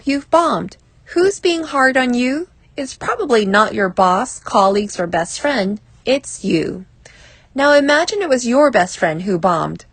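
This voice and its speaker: A woman reading a personal advice essay aloud as scripted narration, in a measured, deliberate way. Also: fairly high pitch, a bit bright, slightly rough, no room sound.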